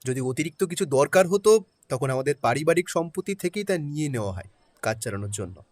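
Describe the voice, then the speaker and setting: A man's voice: fairly high pitch, expressive, fast talker, a little mellow, no echo. A man playing a guarded middle-aged man who tells a sad family history in a somber, reluctant way. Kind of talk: audio drama acting. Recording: faint background music.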